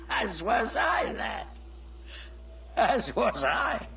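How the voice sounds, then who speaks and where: A bitter old trader in a game, high-voiced and creaky. He is slow and theatrical in his contempt, heard at some distance over faint music. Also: big pitch swings, sharp tone, a little hiss or hum, exaggerated voice. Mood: wry, dying. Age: older. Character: bitter, sneering old male slave trader. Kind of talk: dramatic video game cutscene acting.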